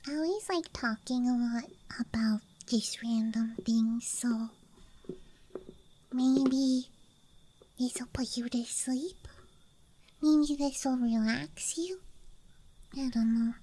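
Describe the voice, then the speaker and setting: A woman giving a hesitant, musing, self-deprecating ASMR ramble in a soft, breathy whisper. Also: a little high, takes it slowly, long pauses. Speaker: ASMR creator. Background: soft night ambience.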